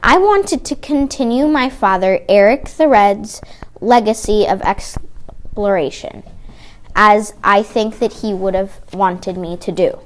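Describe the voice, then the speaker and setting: A woman's voice: mid-range voice, takes it slowly, lightly acted. A young woman playing a proud Norse explorer, reading carefully. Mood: dutiful. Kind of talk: school-project role-play reading.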